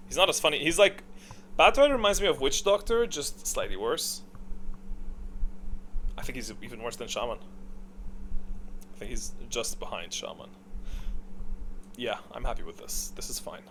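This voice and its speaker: A man with an average-pitched voice gives off-the-cuff commentary on a ranked list. He is chatty and thinks out loud, often repeating a short phrase as he makes up his mind. A little noise sits behind his voice. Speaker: video creator ranking game heroes.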